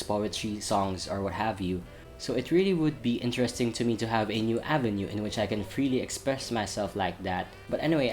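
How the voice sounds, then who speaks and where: Young man with a lowish voice. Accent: international school. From Saudi Arabia. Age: young adult. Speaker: channel host. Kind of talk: vlog update talking to camera.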